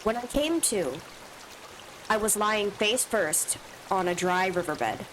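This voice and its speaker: A woman's voice: mid-range voice, expressive, takes it slowly, a bit bright, a little hiss or hum, low-quality recording. A woman streamer with allergy sniffles, reading a visual novel's first-person text aloud with asides of her own. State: stuffy from allergies.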